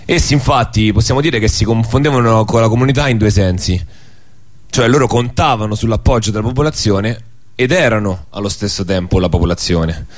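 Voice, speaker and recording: A man's voice: on the low side, fairly quick. A man giving a prepared radio talk, partly read aloud, in formal bookish phrasing with small stumbles. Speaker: radio show speaker.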